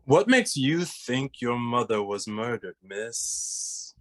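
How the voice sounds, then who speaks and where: A man playing a serious, disapproving young detective, the straight-man brother in an animated comedy table read, speaking formally. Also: mid-range voice, takes it slowly. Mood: businesslike. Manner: formal, stern.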